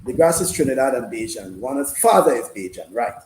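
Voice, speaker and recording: A man hosting a casual live sports discussion, speaking haltingly and repeating himself. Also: medium pitch, wildly swooping pitch, creaky, darkish tone. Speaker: sports radio host. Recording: roomy, noisy room sound.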